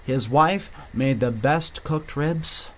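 An actor playing a wry, chatty jungle guide in a radio serial, with noise in the background. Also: male voice, mid-range voice, slow, lightly acted. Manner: wry, matter-of-fact. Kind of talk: 1930s radio serial acting.

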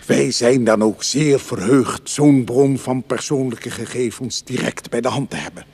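An actor playing a formal, courteous committee chairman in a radio drama, with a very husky voice and pompous, measured delivery. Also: medium pitch, darkish tone. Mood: formal welcome. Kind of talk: Dutch radio drama acting.

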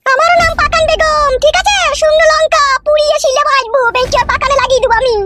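A man's voice: extremely high pitch, fairly even intonation, fast, very noisy. A man voicing a furious, vengeful, boastful wife in a comedy cartoon, ranting in a rural West Bengal accent. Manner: ranting, threatening, earthy. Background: music.

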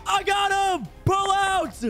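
Young guy gamer, really high voice, bright n forceful, hyped slangy bragging. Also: expressive, takes it slowly, faint music behind. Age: 20s. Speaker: gaming streamer. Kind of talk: excited gameplay commentary.

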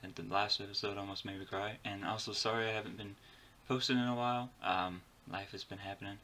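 A man's voice: on the low side, steady tone, quick pace, pushed and bright. A tired young man giving casual reaction commentary in his bedroom. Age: young adult. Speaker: reaction video host. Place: small bedroom, indoors. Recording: faint background noise.

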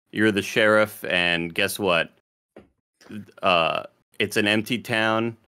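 A man co-hosting a casual podcast from home, talking in a halting, deadpan way with many pauses. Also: fairly low pitch, clear, resonant tone. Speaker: podcast co-host.

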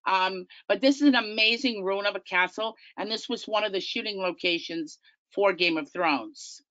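A woman's voice: medium pitch, moderate pace, fairly bright tone, dry recording, dull audio. A middle-aged woman with a Long Island accent, presenting a tour company's slideshow in a chatty, enthusiastic way. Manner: chatty, enthusiastic, self-deprecating. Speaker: tour company business development manager.

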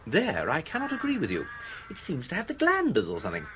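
An actor playing a suave, whimsical English gentleman in a radio play, with an upper-class English accent and languid, mock-grand eloquence. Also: on the high side, huge pitch swings, fast talker, slight background noise, rough recording. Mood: playful, wooing. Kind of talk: 1980s radio drama acting.

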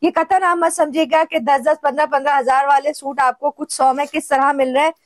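A woman's voice: sits high, steady tone, fairly quick, no room sound, clean studio sound. A woman from Pakistan, hosting a casual shopping vlog and chatting eagerly with a seller. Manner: chatty, eager, narrates to viewers. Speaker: host.

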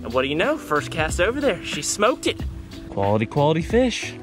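Excitable young man narrating his fishing. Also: fairly high pitch, brisk, music in the background. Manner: chatty and excitable, laughs often, talks to viewers as y'all. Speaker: fishing vlogger. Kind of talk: casual running commentary while fishing. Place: outdoors on a pond in a small boat. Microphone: head-mounted action camera.

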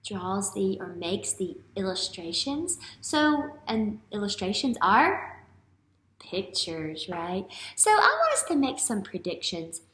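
Lady teacher doing a kids read-aloud, super animated, bright voice, roomy echo, asks lots of questions. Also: mid-range voice. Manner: animated, questioning, drawn-out emphasis for children. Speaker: elementary school teacher. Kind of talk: read-aloud picture book lesson for young children. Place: small classroom-like room, indoors.

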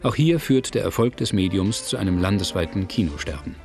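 A man narrating a scripted documentary in a measured, factual manner. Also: low-pitched, lively intonation, creaky, music in the background. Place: studio voice-over, music behind.

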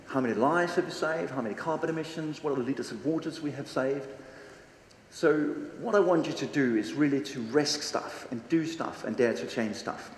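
A man's voice: on the high side, moderate pace, a little hiss or hum. A Danish man in his forties with a Danish accent, giving a casual, blunt stage talk to a seated audience and quizzing them as he goes. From Denmark. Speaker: conference speaker. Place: large hall with a seated audience. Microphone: handheld microphone on stage. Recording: echoey hall with long reverb, faint ambience.

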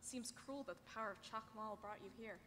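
Woman playing a wistful, bright-voiced underworld spirit. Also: fairly high pitch, a little fast, lightly acted. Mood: tender, regretful. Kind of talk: live table read of a sci-fi comedy script. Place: large convention hall panel stage. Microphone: table microphone on stand. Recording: echoey, noisy convention hall.

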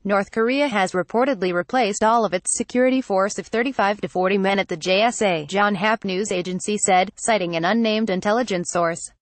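A woman reading a scripted news report in an even, flat delivery. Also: medium pitch, no room sound. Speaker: news reader.

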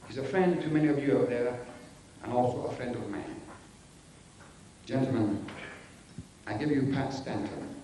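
A man reciting a rhyming tribute as a ceremonial, measured speech at an event. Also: mid-range voice, dark tone. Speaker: event speaker giving a tribute. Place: large echoing indoor hall. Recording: very echoey hall, faint noise.

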